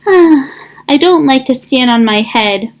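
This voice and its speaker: A woman voicing a mild, weary young male goose in a storybook, gentle and a little plaintive. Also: sits high, expressive, measured pace.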